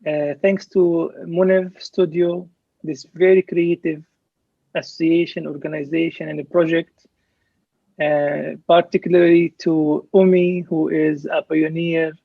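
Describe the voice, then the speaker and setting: A young man from Palestine gives an earnest conference talk over a video call, explaining things step by step. His voice sits a little high and carries a gravelly edge. The dull, lo-fi call audio is dry, with no room echo. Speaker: presenter. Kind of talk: online conference presentation.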